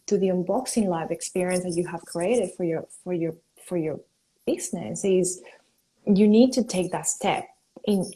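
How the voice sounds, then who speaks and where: A woman from Venezuela, a company founder, chatting as a guest on a live video call, enthusiastic and quick, laughing often. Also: on the low side, dark tone, rough recording. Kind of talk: casual live-stream chat.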